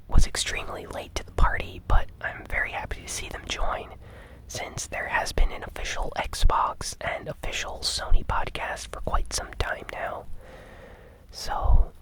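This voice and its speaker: A host whispering a casual gaming news recap in a breathy, conversational ASMR style. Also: low-quality recording.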